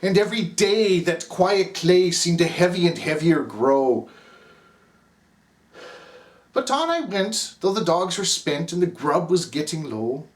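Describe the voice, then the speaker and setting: A man's voice: high voice, very animated, a little slow. A man with an Irish accent reciting a ballad as the narrator Cap, in a rhythmic, theatrical delivery. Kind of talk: dramatic poem recitation.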